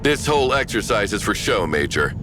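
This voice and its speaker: A man's voice: on the low side, big pitch swings, a little fast, music in the background. A man playing a self-important, brash government counselor with a pompous, commanding manner in a video game. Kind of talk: scripted video game dialogue.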